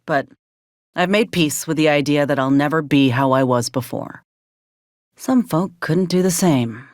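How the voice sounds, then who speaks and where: A woman's voice: deep voice, no room sound, close to an everyday voice. An actress plays a battle-worn frontier woman soldier in a video game, loyal and guarded. She talks in a folksy, plainspoken way with a dry, wry edge. The sound is dry, with no room on it. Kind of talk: video game character dialogue.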